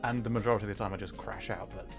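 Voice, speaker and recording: A man livestreaming a video game, chatting casually with viewers and explaining the play in an excitable way. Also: on the low side, rapid-fire. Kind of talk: casual live gaming commentary, chatting with viewers. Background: video game music.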